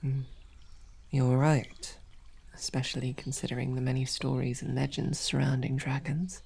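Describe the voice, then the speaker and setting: A woman voicing a devoted, protective female royal knight in a whispered audio roleplay, with soft, earnest questioning. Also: extremely low pitch, little pitch movement, moderate pace, creaky, breathy edge, exaggerated voice. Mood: soft, searching.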